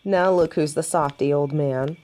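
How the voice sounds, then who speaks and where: A woman's voice: deep voice, a little slow, dark tone, a little hiss or hum. A woman playing a young, stubborn, hot-headed female werewolf in an audio roleplay drama. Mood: gruff, teasing.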